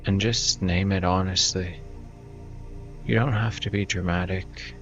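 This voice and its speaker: A man guiding a meditation in a gentle, soft-spoken, conversational way, leaving room between phrases. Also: low-pitched, fairly even intonation, unhurried, dull audio, faint music behind. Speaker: meditation guide.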